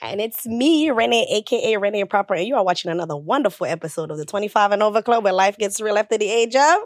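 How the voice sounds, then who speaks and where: A woman's voice: mid-range voice, animated, fast talker, no echo, studio quality. A woman, co-hosting a podcast and chatting in a teasing way. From Jamaica. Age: 25 or older. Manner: chatty and teasing, laughs easily. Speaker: podcast co-host. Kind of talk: casual chat.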